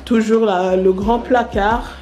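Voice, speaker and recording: A woman's voice: medium pitch, fairly even intonation, takes it slowly, a crowd in the background. A woman filming a holiday house tour on a handheld camera, chatting casually with many hesitations and restarts. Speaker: vlogger giving a house tour.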